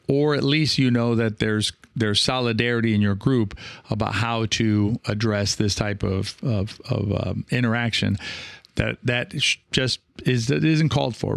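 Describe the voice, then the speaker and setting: A man hosting a solo podcast in a reflective, halting way, often correcting himself and checking in with the listener. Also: medium pitch, creaky, no echo, clean studio sound. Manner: halting, self-correcting, often seeking agreement with "right?". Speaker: podcast host.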